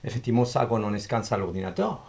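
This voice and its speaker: A man speaking as a guest artist in an informal interview, explaining his craft in an animated way with everyday comparisons. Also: lowish voice, fast, creaky, background noise.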